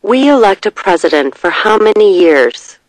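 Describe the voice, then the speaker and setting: A narrator reading scripted test questions and short answers in a measured, deliberate way. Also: medium pitch, big pitch swings, dry recording, rough recording.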